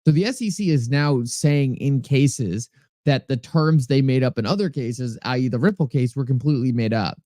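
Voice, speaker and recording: A man's voice: mid-range voice, moderate pace, warm tone, no echo, clean studio sound. A man with a New England accent hosting a live stream, chatting casually, reading viewer comments aloud and thanking people by name. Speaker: livestream host. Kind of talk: casual livestream talk answering chat.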